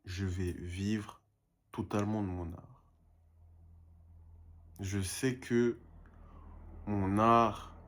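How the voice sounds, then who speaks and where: A man's voice: fairly low pitch, steady tone, very slow, pauses often, a bit bright. A young man and painter talking in a casual vlog monologue, rambling and self-motivating, dropping English phrases now and then.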